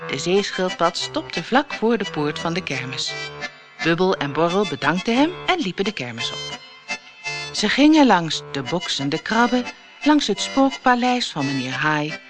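A woman narrates a children's story from a script. Her voice sits a little low and moves with lively, expressive intonation.

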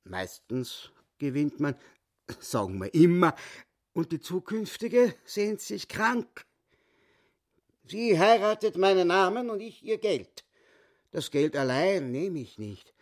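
A brash, self-important schemer in a radio drama. His voice sits fairly high for a man and swings widely in pitch. He draws his words out slowly and showily, savouring his own importance. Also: expressive. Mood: boastful. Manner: drawn-out, swooping, showy delivery. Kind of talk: theatrical radio play character acting.

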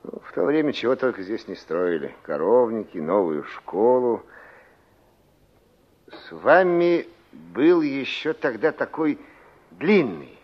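A man's voice: mid-range voice, very animated, takes it slowly. A man playing a middle-aged village barkeeper in a 1960s radio drama, chatty and courteous, musing and repeating words as he recalls. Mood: recollecting. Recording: dull, band-limited old radio recording.

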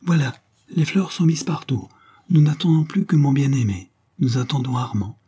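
A man voicing a gentle, dreamy young madwoman with a soft, childlike, velvety manner of speaking. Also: medium pitch, lively intonation, normal speed, slightly rough, voice pushed up. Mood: serenely expectant.

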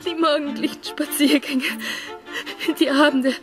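A woman's voice: high-pitched, fairly even intonation, unhurried, over music. An actress playing a proud, hurt young woman in love in a German radio drama, emotionally intense and reproachful. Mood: tearful, reproachful. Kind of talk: German radio drama acting of a classic novel.

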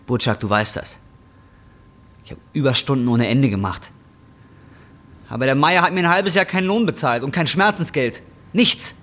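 A man's voice: mid-range voice, expressive, moderate pace, pauses often, a bit bright, natural voice. An actor playing a bitter, defiant, broke injured roofer in his twenties, in a German radio drama. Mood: angry, bitter. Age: 20s. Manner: embittered, colloquial, confrontational. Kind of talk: German radio drama acting and brooding first-person narration.

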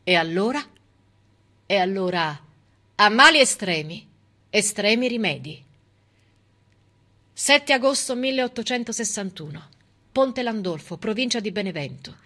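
A woman's voice: medium pitch, lively intonation, unhurried, long pauses, sharp tone. A middle-aged woman performing a theatrical civic monologue, telling history in an emphatic, dramatic and ironic manner. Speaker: stage actress and storyteller.

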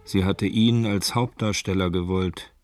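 Male narrator for hard-boiled radio crime story; very low register, music underneath. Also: steady tone, a little slow, darkish tone. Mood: sober, reflective. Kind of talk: scripted radio drama narration. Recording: music behind the voice. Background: background music.

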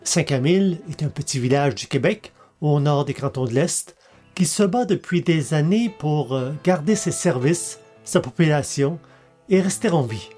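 A man's voice: on the high side, animated, normal speed, warm tone, breathy edge, faint music behind. A man giving a scripted podcast introduction as its host.

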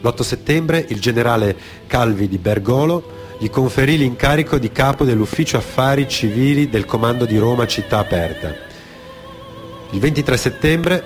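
Solemn man narrating, music behind. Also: mid-range voice. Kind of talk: solemn scripted narration for radio theatre.

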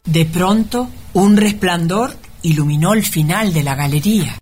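A woman's voice: lowish voice, a little slow. A woman narrating a radio play with dramatic, scripted storytelling. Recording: music playing behind the voice.

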